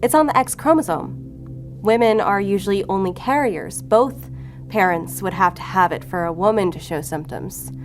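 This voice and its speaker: Woman acting a cool needling lady detective, firm, middle pitch, radio drama w music behind. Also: moderate pace, close to an everyday voice. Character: cool, shrewd female investigator, bluffing and relentless. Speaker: law enforcement agent. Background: music under the voice.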